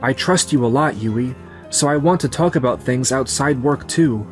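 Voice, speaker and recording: Guy acting nice sincere young office dude in a manga dub, mid pitch, bit of music behind. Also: normal speed, natural voice. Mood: kind, sincere. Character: kind, sincere young businessman. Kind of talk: dubbed romantic comedy manga acting.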